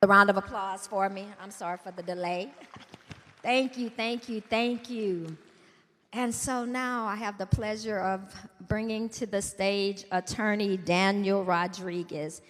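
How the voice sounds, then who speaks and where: A woman emceeing an event, introducing the next speaker in an informal, slightly hurried way. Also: medium pitch, fairly even intonation, a bit bright. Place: school auditorium. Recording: long reverb in a hall.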